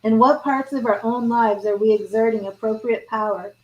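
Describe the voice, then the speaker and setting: Hoarse woman reading tarot cards. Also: a little high, steady tone, moderate pace, darkish tone, dull audio. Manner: reads card meanings aloud, then chats and restarts. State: hoarse, losing her voice. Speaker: tarot reader. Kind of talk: live tarot reading, partly read aloud.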